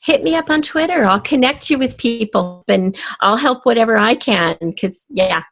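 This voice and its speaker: A woman's voice: fairly high pitch, animated, normal speed, fairly bright tone. A woman speaking as a guest writer in a podcast interview, giving emphatic, encouraging advice in a conversational way.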